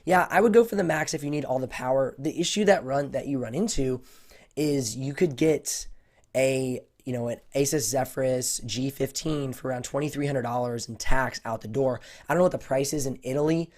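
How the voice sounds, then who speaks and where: A man with a voice hoarse from a cold, answering viewer questions on a casual livestream, rambling with lots of fillers. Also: medium pitch, fairly quick, a little mellow. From United States. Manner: rambling, many fillers and restarts. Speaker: tech YouTuber hosting a livestream Q&A.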